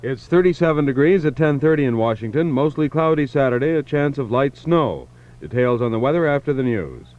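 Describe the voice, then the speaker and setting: A dull-sounding 1960s radio tape carries an American male newscaster. His mid-pitched voice delivers a scripted bulletin. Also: lively intonation, a little fast, darkish tone.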